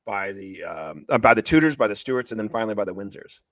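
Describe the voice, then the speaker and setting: A man talking as an interviewed author and screenwriter, retelling a story in an excitable, emphatic, repetitive way. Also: lowish voice, fast talker, no room sound. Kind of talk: animated promotional interview talk, retelling a story.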